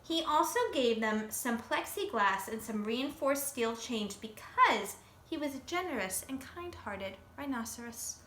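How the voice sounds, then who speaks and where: Bright-voiced woman reading a storybook aloud. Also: fairly high pitch. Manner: expressive, pausing to ask listeners questions. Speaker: teacher reading to children. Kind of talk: animated picture-book read-aloud. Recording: roomy echo, faint background noise.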